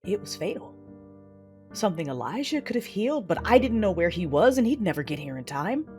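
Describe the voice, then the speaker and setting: A woman's voice: mid-range voice, very animated, fairly quick, natural voice. An actress playing a vengeful, anguished Sith warrior, narrating her own tale in an intense, brooding, theatrical manner for an audio drama. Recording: loud music behind the voice. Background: loud dramatic music.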